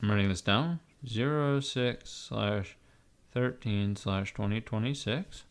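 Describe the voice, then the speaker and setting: A raspy-voiced man livestreaming from his computer, rambling casually to his chat, swearing and talking to himself as he types. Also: lowish voice, takes it slowly. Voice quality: raspy, gravelly. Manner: rambling, swearing, talks to chat while typing.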